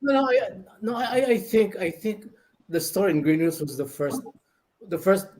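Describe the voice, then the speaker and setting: Older male, Filipino accent, high register, animated casual raconteur, slight background noise. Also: normal speed. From Philippines. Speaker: guest, veteran radio DJ and record store owner. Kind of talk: casual reminiscing chat.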